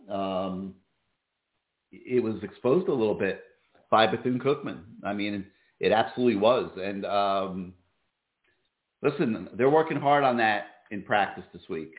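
A man hosting a sports call-in show, talking casually with many fillers, his voice going hoarse. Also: medium pitch, roomy sound, phone-quality sound. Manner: relaxed, many fillers and restarts. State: losing his voice. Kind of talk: casual call-in radio talk.

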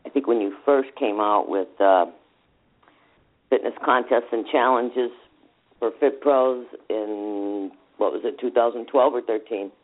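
A man's voice: mid-range voice, measured pace. A man co-hosting an interview, easygoing and chatting casually. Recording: tinny phone-like line.

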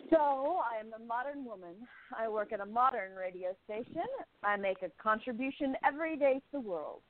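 A woman's voice: fairly high pitch, animated, moderate pace, lightly acted. A woman playing an independent young newspaperwoman of the 1920s in a radio play, with an earnest, emphatic delivery. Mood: reflective. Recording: tinny phone-like line, roomy echo.

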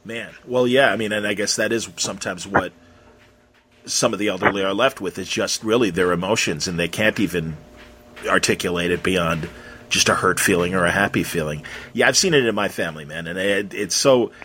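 A man's voice: sits low, fairly quick, bright, forceful tone, a little hiss or hum. An American man from Chicago interviewing someone in a casual, informal way, musing and rambling as he goes. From Chicago, United States.

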